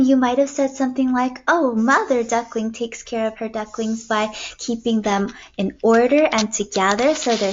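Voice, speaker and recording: A young woman teacher reading aloud to kindergartners in an expressive storytelling way, recorded indoors on a computer mic. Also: fairly high pitch, lo-fi sound, a little hiss or hum. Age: young adult. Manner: expressive, clearly paced storytelling for children. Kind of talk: read-aloud lesson for young children. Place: small room at home, indoors.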